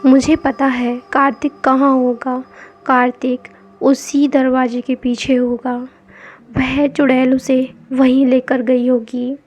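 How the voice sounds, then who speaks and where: A woman's voice: high-pitched, level tone, slow, darkish tone. A woman voicing an anxious, grieving father in her own voice, with an urgent, pleading manner. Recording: dull lo-fi with background music.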